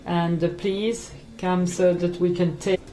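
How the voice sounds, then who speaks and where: A woman speaking at a conference, halting and pausing often on fillers as she talks. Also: on the low side, flat delivery, dark tone. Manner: halting, with frequent filler pauses. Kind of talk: live conference address.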